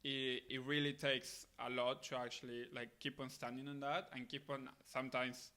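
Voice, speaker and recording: Bright-voiced young man from Argentina. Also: mid-range voice, little pitch movement, normal speed, clear, resonant tone. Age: late 20s. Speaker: podcast guest, missionary. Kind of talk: casual interview answers.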